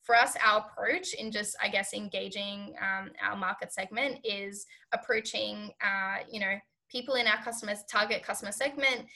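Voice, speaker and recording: Young woman founder, early twenties, bright tone, chatty and full of fillers. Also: medium pitch, moderate pace, gravelly, hardly any pauses. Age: 20s. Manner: rambling with many fillers. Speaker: startup founder guest. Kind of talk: casual podcast interview.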